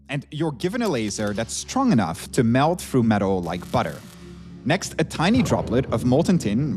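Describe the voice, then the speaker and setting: Animated man narrating science over music. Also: medium pitch. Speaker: documentary presenter. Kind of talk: enthusiastic scripted science narration. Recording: background music, played within a stream. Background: clear background music.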